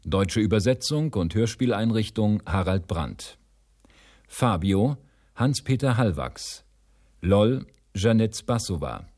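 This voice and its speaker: A male announcer with a somewhat low voice reads scripted radio credits. He goes slowly and formally, setting each item apart in a measured way. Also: animated, a little mellow.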